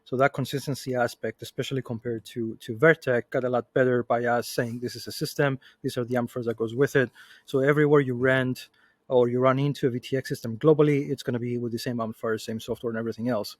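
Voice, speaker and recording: A man giving a methodical, measured technical explanation as a product manager on a panel. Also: medium pitch, fairly even intonation, dry recording, clean studio sound.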